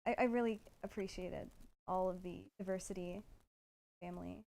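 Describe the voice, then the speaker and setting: A woman co-hosting a casual livestream chat, commenting appreciatively in a halting way. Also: fairly low pitch, steady tone, gravelly, darkish tone.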